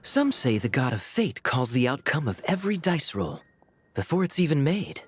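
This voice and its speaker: A man's voice: mid-range voice, big pitch swings, moderate pace, breathy edge, soft background music. A man playing a suave, sly young gambler in a video game, with a playful, wry way of talking. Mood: wry, teasing.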